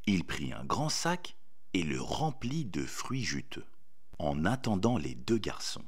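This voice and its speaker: A man narrating a scripted children's story expressively, with theatrical pauses. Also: sits low, very animated, breathy edge.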